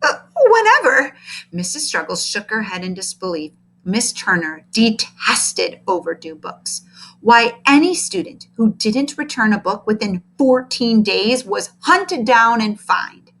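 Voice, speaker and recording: A woman reading a children's storybook aloud as narrator, in an expressive, deliberate manner. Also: mid-range voice, poor audio. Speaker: storybook reader.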